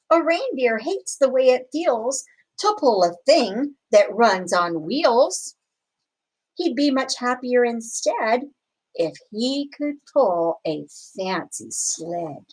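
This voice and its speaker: Slow, higher-voiced woman reading a storybook. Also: rough recording. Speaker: storybook reader. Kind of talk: expressive children's picture book read-aloud.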